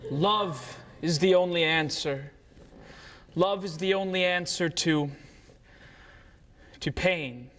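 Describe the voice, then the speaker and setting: A man's voice: sits high, takes it slowly, pauses often, fairly bright tone. A man playing a misfit teenage fish poet in a stage musical, plaintive and melodramatic, half spoken and half sung. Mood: wistful, self-pitying.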